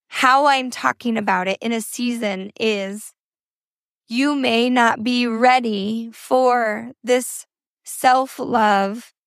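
Slow-talking hoarse raspy woman. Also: on the high side, dry recording, clean studio sound. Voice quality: raspy, scratchy. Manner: earnest, repetitive encouragement. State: hoarse from a cold. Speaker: podcast host. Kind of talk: faith-based motivational podcast talk. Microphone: informal recording setup.

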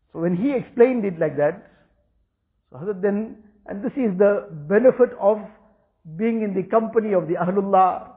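A man whose first language is Urdu, giving an earnest religious talk and repeating phrases for emphasis. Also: sits high. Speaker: religious teacher. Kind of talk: Islamic talk. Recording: tinny phone-like recording.

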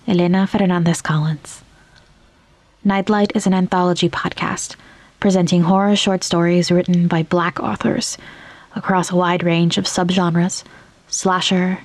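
A woman hosting a podcast, reading a scripted introduction in a measured, articulate way. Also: fairly low pitch, a little mellow, no room sound. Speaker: podcast host.

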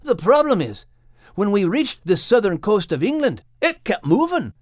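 A plucky lad full of encouragement, as a storyteller voices him, pitched well up and lively in his rises and falls. His speech is hearty and earnest, recorded close and dry. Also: normal speed, no room sound.

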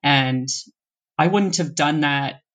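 A young adult from the American East Coast, with a voice sitting right in the middle of the pitch range. They talk in a loose, meandering way during a relaxed interview. They restart sentences often and laugh easily as they go. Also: takes it slowly. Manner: thoughtful, rambling, with frequent laughs and restarts. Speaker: interview guest. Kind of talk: casual interview conversation.

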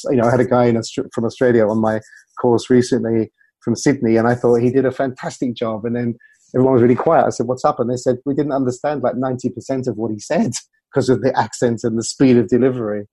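Man, communication coach, interview guest, fairly flat intonation, very dry close sound. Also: mid-range voice, dry recording. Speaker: communication coach and former broadcaster. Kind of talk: interview conversation, explaining with anecdotes.

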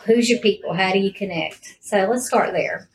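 A woman's voice: mid-range voice, moderate pace, a little hiss or hum. A woman speaking as a podcast host, asking questions in a conversational interview style.

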